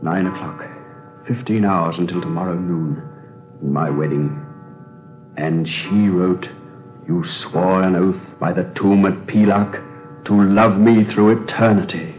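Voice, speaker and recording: An actor playing an anxious, hesitant young archaeologist in a 1930s radio drama. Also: male voice, deep voice, fairly even intonation, slow, music in the background. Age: young adult. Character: haunted young male archaeologist, nervous. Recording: dull 1930s radio recording.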